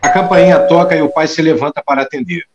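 A man's voice: a little high, steady tone, quick pace, dull audio, a loud crowd. A man narrating a scripted audio drama, reading out the scene action. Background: clear music.

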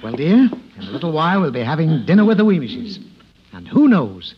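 An actor playing an exasperated, eager-to-please father in 1950s radio comedy, excitable and flustered. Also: fairly high pitch, a little fast. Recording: muffled, very noisy old radio recording.

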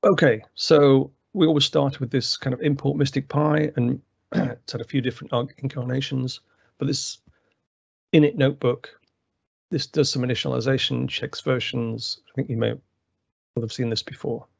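A man with a gravelly voice presenting a technical webinar walkthrough, rambling with many fillers and restarts. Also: mid-range voice, steady tone, normal speed, no echo. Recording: dry, slightly muffled webinar audio.

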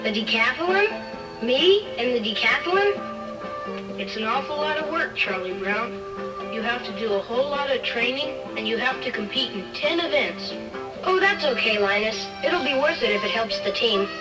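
Woman as high-voiced cartoon schoolchild, distant playback. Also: expressive, moderate pace, lightly acted. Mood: earnest. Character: cartoon schoolchildren, earnest and plain-spoken. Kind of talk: cartoon read-along acting. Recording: distant vintage record playback over loud music. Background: music.

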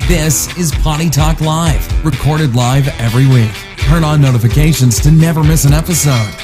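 A man's voice: on the high side, normal speed. A man reading a scripted show intro as announcer, with a polished promo delivery. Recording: voice over guitar intro music. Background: guitar music.

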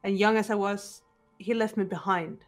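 A woman voicing a composed, guarded teenage girl detective in a video game, speaking in a measured, serious way, with bitterness held in check. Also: medium pitch, fairly even intonation, lightly acted. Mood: controlled, reflective. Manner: measured, serious, deliberate delivery. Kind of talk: amateur video game voice acting. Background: background music.

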